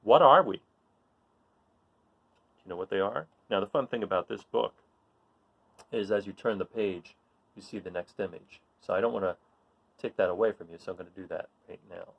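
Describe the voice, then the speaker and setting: A man reading a children's picture book aloud in an informal, expressive way, putting questions to young listeners and encouraging them. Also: lowish voice, moderate pace, vocal fry, pauses often. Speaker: read-aloud storyteller.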